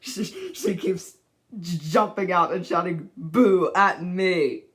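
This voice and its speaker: A narrator acts out a timid little storybook man, scared out of his wits. He stammers and chatters, dragging words out slowly in a raised, trembling voice. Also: mid-range voice, fairly bright tone. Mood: terrified.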